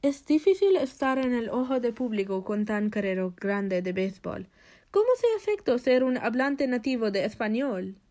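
A woman's voice: fairly high pitch, big pitch swings, moderate pace, darkish tone. A woman interviewer speaking Spanish in a scripted interview.

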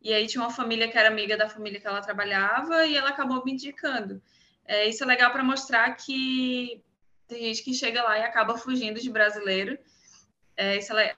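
Podcast guest, young woman, Brazilian accent, bright forceful voice, conversational, slightly lo-fi call audio. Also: on the high side. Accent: Brazilian Portuguese. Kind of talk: casual conversation, giving advice.

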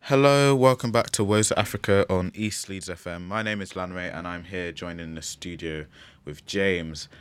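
A man's voice: low voice, normal speed, hardly any pauses, clear, resonant tone. A man presenting a community radio show from the studio in a casual, rambling way, with plenty of fillers. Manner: chatty, rambling with restarts and fillers.

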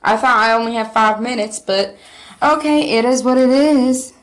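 A woman's voice: a little high, moderate pace. A woman vlogger chatting casually and self-deprecatingly to her viewers, full of fillers. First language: not Korean. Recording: echoey room.

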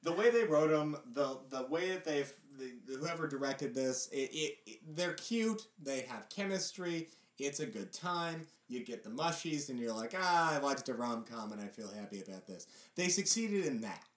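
A man's voice: medium pitch, lively intonation, moderate pace. A man in his thirties co-hosting a podcast, speaking in casual chat with a dry, teasing manner. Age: 30s. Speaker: podcast co-host. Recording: roomy echo, mic set back.

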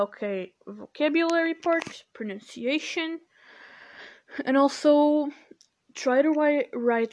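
Slow-talking teen girl. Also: on the high side, darkish tone. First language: Portuguese. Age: teens. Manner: rambling, many fillers like "you know" and "like". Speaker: podcast host. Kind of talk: casual study-tips talk.

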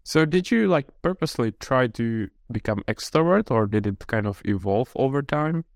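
A man's voice: medium pitch, animated, moderate pace, gravelly, dry recording, clean studio sound. A man hosting a podcast, reading a scripted introduction and then asking curious, friendly interview questions. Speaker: podcast host.

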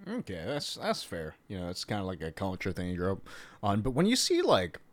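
A man's voice: sits low, wildly swooping pitch, fairly quick. A man hosting a casual podcast interview, rambling with frequent restarts and laughter. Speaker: podcast host.

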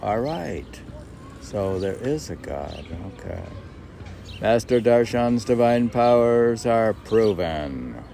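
A man's voice: fairly low pitch, big pitch swings, takes it slowly, warm tone. A man reading his own verse and rambling in spontaneous commentary, musing aloud and answering his own questions. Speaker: poet and podcast host. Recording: clearly noisy background.

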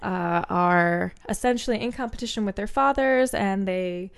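A woman guest in a casual chat, explaining psychology with many pauses as she searches for words. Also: medium pitch.

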